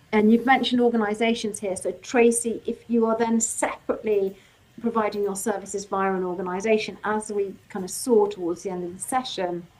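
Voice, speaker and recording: A woman hosting a live legal webinar, reading out audience questions and answering them informally, her voice getting croaky. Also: mid-range voice, darkish tone, rough recording. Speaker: legal workshop host.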